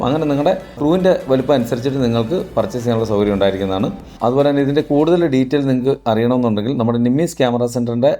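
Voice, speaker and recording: A man gives a product review at a quick pace. His voice is soft-edged and mellow, and he explains step by step, restating points as he goes. Faint music plays behind him. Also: medium pitch. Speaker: product reviewer for a camera store. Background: background music.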